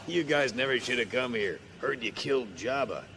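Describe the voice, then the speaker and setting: An actor playing a wild but good-hearted space pirate mechanic with a gravelly voice and boisterous, slangy talk in an audio drama. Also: male voice, mid-range voice, expressive, moderate pace, sharp tone, slight background noise. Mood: warning.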